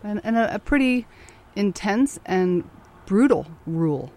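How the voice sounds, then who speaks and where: A woman's voice: medium pitch, animated, a little slow, darkish tone. A woman hosting a radio interview program, moving between scripted introduction and curious follow-up questions.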